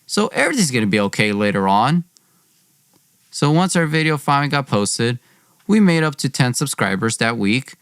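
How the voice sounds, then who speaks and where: A man's voice: mid-range voice, sing-song, moderate pace, fairly bright tone, smooth, dry recording. A young man hosting a podcast, telling a personal story dramatically in an informal, wry way, acting out dialogue. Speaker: podcast host.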